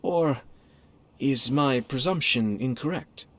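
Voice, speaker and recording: Young noble clan head acted by a man, medium pitch, formal, composed, polite, subtly shrewd, anime game. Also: slow pace, background noise, lightly acted. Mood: politely probing. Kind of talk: anime-style video game voice acting.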